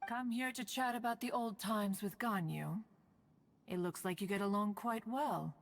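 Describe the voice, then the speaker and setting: A woman's voice: medium pitch, normal speed, slight background noise, natural voice. A woman voicing an ancient female crane spirit in a game, proud and teasing, speaking in archaic, stately phrases and calling herself "one". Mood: stern. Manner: archaic stately speech, refers to self as "one". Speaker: game character. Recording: loud music behind.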